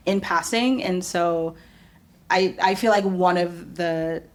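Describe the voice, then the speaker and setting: Woman, from the US, podcast guest, casual chat, animated storyteller, lower voice. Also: takes it slowly. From United States. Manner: animated storyteller, laughs often, acts out quotes. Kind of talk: casual conversation.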